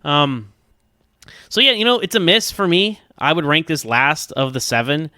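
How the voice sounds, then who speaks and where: A man's voice: fairly high pitch, normal speed, sharp tone, no room sound, studio quality. A man giving a casual, rambling TV review as a podcaster, stammering his way through his opinions. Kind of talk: casual spoken TV episode review.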